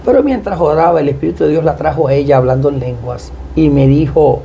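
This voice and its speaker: A man with a Puerto Rican Spanish accent, a pastor speaking as a guest in a devout, deliberate testimony style. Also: on the high side, vocal fry, warm tone, lo-fi sound, a little hiss or hum. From Puerto Rico. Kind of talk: personal testimony and prayer.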